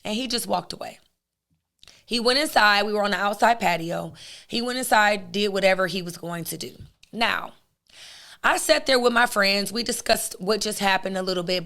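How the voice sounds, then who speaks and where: Hoarse woman hosting a chatty podcast. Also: medium pitch, pushed and bright. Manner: chatty, outspoken, addresses listeners directly. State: hoarse, raspy voice. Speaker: podcast host. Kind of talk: casual podcast monologue.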